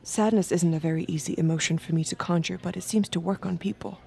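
Cool, watchful woman with mellow voice. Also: lowish voice, fairly even intonation, quick pace, gravelly, soft background music. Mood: calculating. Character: cool, watchful, manipulative woman escort narrating her thoughts. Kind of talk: intimate scripted audio drama narration and dialogue.

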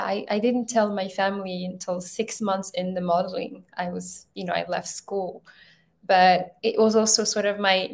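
A woman in her thirties from Ethiopia, talking in a thoughtful, halting way with many restarts, as an interview guest on a video call. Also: medium pitch, gravelly. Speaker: filmmaker guest.

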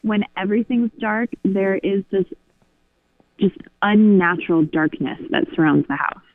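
A woman's voice: medium pitch, unhurried, no room sound. A woman caller telling a story casually over a phone line. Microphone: phone call. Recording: narrow tinny phone line.

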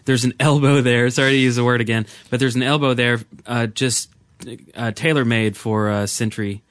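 A man co-hosting a gaming podcast, chatting casually in a somewhat halting way. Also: medium pitch, fairly even intonation, dry recording. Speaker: podcast co-host.

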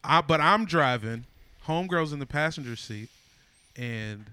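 A comedian in his thirties telling animated, slangy stories in a casual chat. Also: a little high, measured pace, clean tone. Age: 30s.

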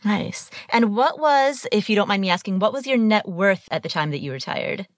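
An American woman hosts a podcast in a mid-pitched voice. The sound is close and dry, with very little room around it. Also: expressive, normal speed, no room sound. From Ohio, United States. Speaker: podcast host, interviewer. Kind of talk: scripted podcast intro and interview.

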